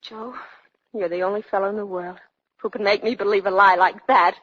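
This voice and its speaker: Casting: actress as loyal, wry American working girl, medium-pitched, on a dull vintage radio broadcast. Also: fairly even intonation, a little fast, fairly bright tone, slight background noise, lightly acted. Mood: soothing, tender. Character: plain-spoken, loyal working girl, gently wry sweetheart. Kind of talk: 1940s radio drama acting.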